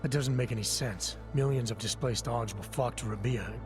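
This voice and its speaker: An actor playing a terse, stoic, guarded augmented agent in a video game, speaking in a deadpan manner. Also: male voice, medium pitch, normal speed, lightly acted. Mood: guarded, probing. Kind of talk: video game cutscene acting. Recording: loud music behind the voice.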